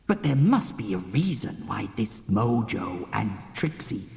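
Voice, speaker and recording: A reader voicing an old, drunken sea captain, speaking slowly and low. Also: big pitch swings, vocal fry, a little mellow, roomy sound, in a large hall. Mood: coaxing. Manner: slow, low, brooding speech.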